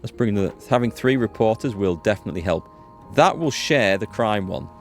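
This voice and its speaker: Man, lowish voice, casual gaming commentary, thinking aloud, explanatory, music behind. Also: lively intonation, a little fast. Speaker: gaming video host. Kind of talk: casual let's play commentary.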